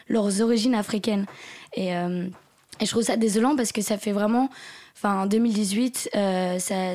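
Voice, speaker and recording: A woman's voice: mid-range voice, darkish tone. A woman panelist on a TV discussion, speaking hesitantly with many fillers.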